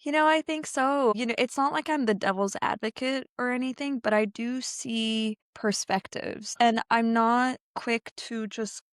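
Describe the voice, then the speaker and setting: A woman's voice: on the high side, a little slow, few pauses, dry recording, studio quality. A French-speaking Canadian woman, a guest on a podcast, talking reflectively and stopping now and then to find her words. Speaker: podcast guest, business owner. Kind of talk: casual interview talk.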